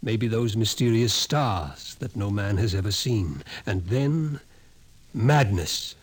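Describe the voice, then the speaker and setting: Calm knowing old professor, lecturing, kinda ominous, old radio play. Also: male voice, on the low side, husky, full character voice. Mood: explaining. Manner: measured, lecturing, quietly ominous. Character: older male psychologist, calm, knowing, ominous. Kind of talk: 1950s radio drama acting.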